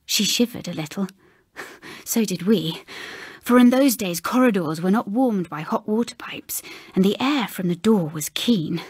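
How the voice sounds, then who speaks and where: An English woman narrating a scripted ghost story in a measured, dramatic way with hushed phrases. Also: mid-range voice, lively intonation, slightly rough, dry recording. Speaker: audiobook narrator.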